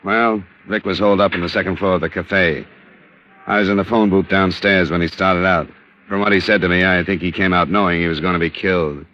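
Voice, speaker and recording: An actor playing a tough, dogged insurance investigator in 1950s radio drama style, clipped, deliberate and wry. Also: male voice, deep voice, steady tone, close to an everyday voice. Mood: measured, grim. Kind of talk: 1950s radio drama acting, first-person crime narration. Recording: dull old radio recording with music.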